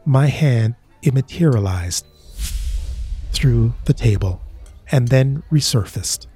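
A man narrating a short drama in a slow, measured way, with a husky voice. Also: medium pitch, expressive, warm tone, soft background music. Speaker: narrator and lead character.

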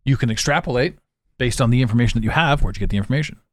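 A man hosting a solo podcast, talking intensely and repeating words as his voice swings between loud and quiet. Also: mid-range voice, racing, dry recording. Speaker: podcast host.

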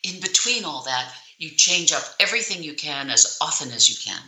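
A woman with a low speaking voice, coaching on public speaking in an interview, emphatic and deliberate, with purposeful pauses and shifts in tone. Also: animated, bright, forceful tone, reverberant, rough recording. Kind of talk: interview answers, instructive.

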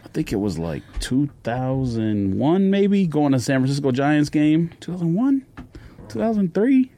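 A man's voice: a little high, sing-song, unhurried, warm tone. An American man chatting casually about sports as a podcast co-host, informal and anecdotal.

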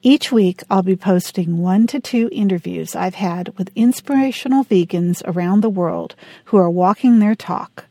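A woman hosting a podcast, reading a scripted welcome and asking gentle, soft-spoken questions. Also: mid-range voice, normal speed, few pauses, no room sound. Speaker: podcast host. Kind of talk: scripted intro and interview questions.

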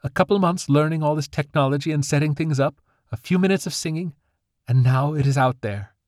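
A man voicing a rebellious young girl fairy, speaking in a high, squeaky, emphatic voice. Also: a little fast, a little mellow, no echo, studio quality, close to an everyday voice. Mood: excited.

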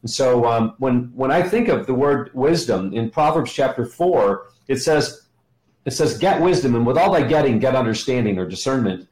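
A man's voice: medium pitch, expressive, moderate pace, darkish tone. A middle-aged American man teaching conversationally as an interview guest, enthusiastic and repeating himself for emphasis. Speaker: interview guest, ministry educator. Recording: roomy, echoing sound.

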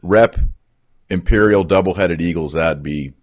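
A man with a Texan accent hosting a podcast interview, relaxed and joking, asking questions. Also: deep voice, unhurried, no room sound. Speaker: podcast host. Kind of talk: casual conversational interview.

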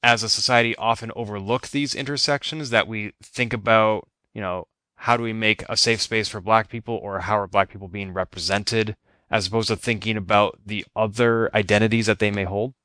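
Podcast host, male, from Boston; measured questions, bright tone, low-ish pitch. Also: fairly even intonation, no echo. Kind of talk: interview conversation.

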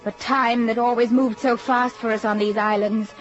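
An actress playing a devoted, anxious young army nurse in a vintage radio drama, with earnest emotional delivery. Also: female voice, fairly high pitch, fairly even intonation, moderate pace, close to an everyday voice. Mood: sorrowful. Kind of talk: vintage wartime radio drama acting and narration. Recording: muffled old radio drama with music behind.

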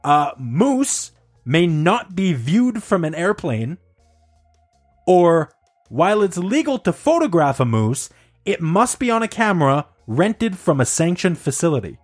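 Lively high-voiced joking man. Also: measured pace, soft background music. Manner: laughs often, animated and playful. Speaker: quiz host. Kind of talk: casual podcast banter.

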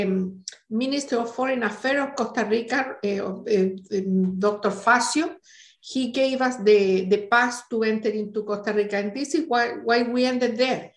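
A woman in her late sixties speaks English with a Chilean Spanish accent. Her voice sits a little high as she looks back on her life as an interview guest. The room adds a clear echo around her. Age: late 60s. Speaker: interview guest, retired NGO director. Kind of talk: reminiscing in an interview.

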